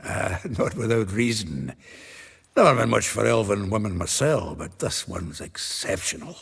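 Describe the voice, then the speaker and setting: Earthy, gravel-throated male gossip. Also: lowish voice, very animated, measured pace, full character voice. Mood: wry, confiding. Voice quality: gravelly, very husky. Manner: chatty, chuckling storyteller. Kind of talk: video game cutscene acting.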